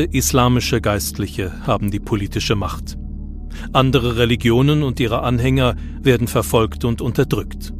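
A man reading scripted documentary narration. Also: medium pitch.

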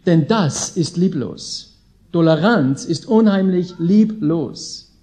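An Austrian man in his late thirties speaks as a visiting preacher to a live audience. His voice sits high for a man yet has a soft, mellow tone. He keeps it informal and folksy, leaning hard on his key phrases. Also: expressive, slow pace, a little hiss or hum. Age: late 30s. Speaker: visiting preacher, Bible school leader. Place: indoor gathering with an audience.

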